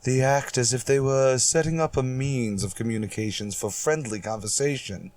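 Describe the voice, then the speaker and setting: A man's voice: mid-range voice, normal speed, darkish tone, no room sound, natural voice. A man playing a cautious, thoughtful ship's psychologist in a sci-fi radio drama, speaking hesitantly and deferentially. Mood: uneasy, careful.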